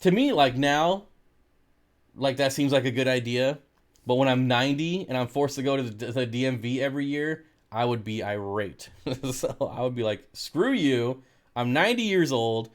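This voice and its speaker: An American man speaks at an average male pitch in an informal, laid-back style. He sounds like a livestream host, reading out comments and replying to them in a jokey, conversational way. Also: lively intonation, moderate pace. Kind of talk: casual livestream chat.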